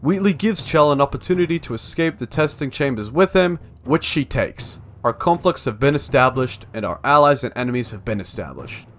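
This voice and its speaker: A man's voice: mid-range voice, a bit bright, a little hiss or hum. A young man reading a scripted video essay as an analytical narrator.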